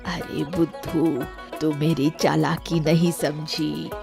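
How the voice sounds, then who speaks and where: A woman's voice: sits low, level tone, slow, gravelly, heavily acted. A woman playing a shrewd, caring old village grandmother in a cartoon story, speaking in an assured, coaxing way. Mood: sly, tender. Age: older. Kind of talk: scripted cartoon story acting. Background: music.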